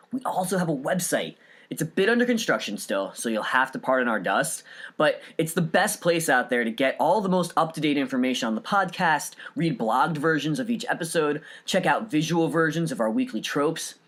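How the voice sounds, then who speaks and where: A male podcast host reads from a script with an earnest tone. His pitch sits in the middle range for a man and moves up and down in a lively way. He enunciates carefully.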